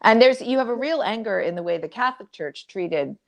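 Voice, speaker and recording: A woman's voice: fairly high pitch, animated, fairly quick, creaky, muffled recording. A woman moderating a book event, asking questions in a conversational interview style.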